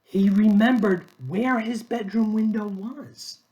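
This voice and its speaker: A man reading a children's story aloud expressively, pausing between short phrases. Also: sits high, steady tone, a little mellow, dull audio. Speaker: storybook reader.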